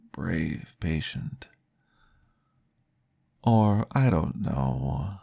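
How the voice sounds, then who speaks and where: Deep, gravelly, slow-spoken vampire therapist, formal and reassuring, in an ASMR roleplay, voiced by a man. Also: darkish tone, exaggerated voice. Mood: coaxing.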